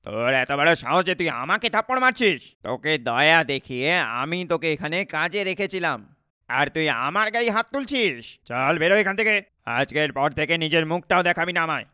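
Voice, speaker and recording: A man's voice: a little high, lively intonation, moderate pace, bright, forceful tone, smooth, no room sound, studio quality. A man playing a brusque, bossy eatery owner in a cartoon story, speaking emphatically. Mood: furious. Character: male roadside eatery owner, brusque, bossy, scheming employer. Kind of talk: dramatic cartoon story dialogue.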